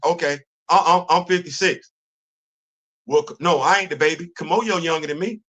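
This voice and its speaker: Animated middle-aged man, restless commentary. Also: fairly high pitch, moderate pace. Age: 50s. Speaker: host of a commentary livestream. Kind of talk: casual livestream commentary. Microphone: phone.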